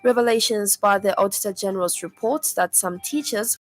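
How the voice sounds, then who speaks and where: A woman with a Zambian accent reads a scripted radio news bulletin in a formal, measured manner. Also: medium pitch, soft background music. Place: radio studio.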